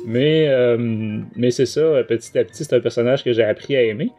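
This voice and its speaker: A male podcast host with a Quebec French accent talks in a casual, colloquial way. His voice sits at an ordinary male pitch, explaining as he goes, with music playing faintly underneath. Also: moderate pace, dark tone. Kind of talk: casual book review discussion.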